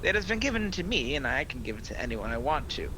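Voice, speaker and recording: An actor playing a sly, proud devil who taunts and tempts in a sardonic, theatrical, persuasive manner in a radio play. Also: male voice, mid-range voice, very animated, fast, bright, forceful tone. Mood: boastful, challenging. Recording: noisy home video-call recording.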